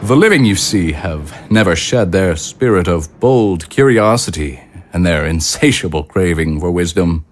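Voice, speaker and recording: An actor playing a courtly, smooth-talking consul in an audio drama, formal, stately and politely condescending. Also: male voice, sits low, expressive, measured pace, slight background noise. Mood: politely pointed. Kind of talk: dramatic dialogue acting.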